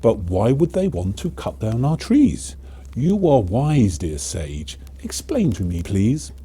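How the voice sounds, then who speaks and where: Storybook female elf, acted by a man: gentle, inquisitive, lilting, with lively pitch swings. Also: slow pace, husky, full character voice. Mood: curious, questioning. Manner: lilting, questioning.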